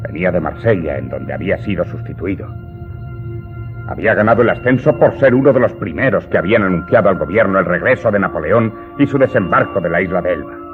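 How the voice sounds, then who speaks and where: An actor playing an aggrieved servant earnestly telling his story to his master in a radio drama. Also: low voice. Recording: muffled narrow-band audio drama recording. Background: loud music.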